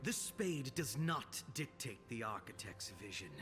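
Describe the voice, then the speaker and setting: An actor playing an arrogant, defiant young male assassin in a video game, with a proud, measured, menacing delivery. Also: medium pitch, expressive, lightly acted. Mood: cold resolve. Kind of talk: video game character voice lines. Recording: music behind the voice.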